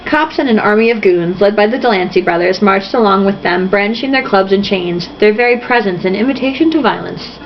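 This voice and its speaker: A woman's voice: medium pitch, fairly quick, hardly any pauses. A woman reading a novel aloud in a casual, theatrical way, dropping in sarcastic, mocking asides. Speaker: host reading a novel aloud with jokey commentary. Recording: dull lo-fi sound, faint noise.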